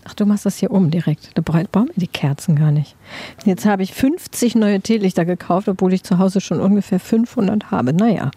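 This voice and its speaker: A woman's voice: mid-range voice, a little fast, mellow, slightly rough, dry recording. Female podcast co-host, mid-range voice, informal and chatty with a joking manner. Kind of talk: casual chat. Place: radio studio.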